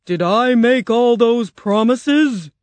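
A woman voicing a grand, imperious male wizard in a storybook, slow, pompous and declaiming. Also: on the high side, a little mellow, no room sound, pitched above the performer's own voice. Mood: stern, evasive. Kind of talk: storybook character voice.

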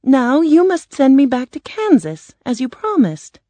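A woman's voice: high-pitched, huge pitch swings, unhurried, mellow, no echo, pitched above the performer's own voice, exaggerated voice. A woman voicing a brave young girl in a storybook, polite but insistent, eager and emphatic. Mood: bold, insistent. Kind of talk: storybook character voice.

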